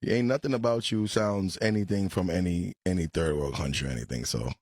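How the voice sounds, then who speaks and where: Husky-voiced man interviewing bluntly. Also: low-pitched, a little fast, a little mellow, no echo, studio quality. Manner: blunt and probing. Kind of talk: interview clip.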